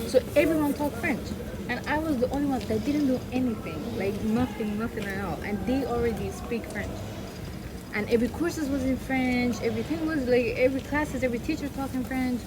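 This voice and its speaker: A young woman from Iran, a podcast guest, talking casually and searching for her words. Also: mid-range voice. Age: young adult. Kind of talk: casual chat. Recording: loud background noise.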